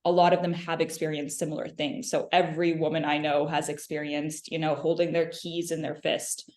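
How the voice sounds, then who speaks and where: A woman's voice: low-pitched, flat delivery, a little fast, darkish tone, slight background noise. A woman from Lebanon, a film director answering questions in a conversational interview. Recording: roomy, echoing interview sound.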